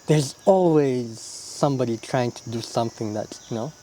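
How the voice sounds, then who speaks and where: A young man from Uganda, answering interview questions in a relaxed, reflective way, often tagging his lines with "yeah?" and "you know?". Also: medium pitch, normal speed, slight background noise. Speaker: interview guest, illustrator.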